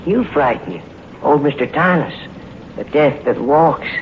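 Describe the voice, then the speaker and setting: A creepy backwoods doomsayer draws out his warnings in a higher, swooping voice. He is gleefully menacing and folksy, heard on a muffled old horror radio recording. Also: lively intonation, a little hiss or hum. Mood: taunting, menacing. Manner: drawn-out, taunting, ominous. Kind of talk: 1950s radio horror acting.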